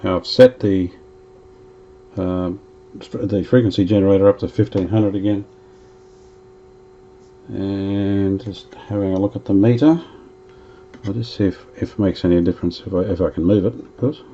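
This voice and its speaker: Lower-voiced man, casual hands-on workshop narrator who thinks aloud, dull lo-fi sound. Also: fairly even intonation, dark tone, a little hiss or hum. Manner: thinks aloud while working, chatty and unhurried. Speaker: vintage radio restorer.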